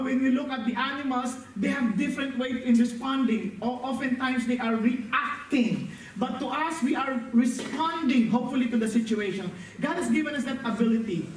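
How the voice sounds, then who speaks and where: A very high-voiced man with a Filipino accent preaches, often correcting himself mid-phrase and laughing softly. He is heard from some distance in a roomy, echoing hall with a faint hum behind. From northern Philippines. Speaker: guest preacher. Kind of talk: sermon. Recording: echoey hall, heard from afar.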